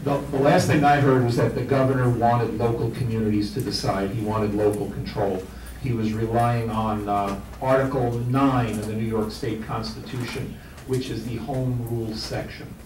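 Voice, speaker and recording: A man at a public meeting answering in a measured, informative way. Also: medium pitch, fairly even intonation. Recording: echoey hall, far mic, noisy.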